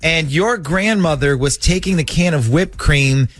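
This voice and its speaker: A man from a radio show making a prank phone call, talking in a calm, matter-of-fact way with hesitant fillers. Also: fairly high pitch, unhurried.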